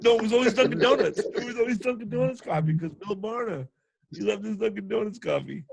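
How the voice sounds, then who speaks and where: Animated, high-voiced man swapping stories. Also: quick pace, vocal fry, noisy background. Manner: animated, jokey, prone to laughing. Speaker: podcast host and military veteran telling stories. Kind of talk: casual storytelling chat.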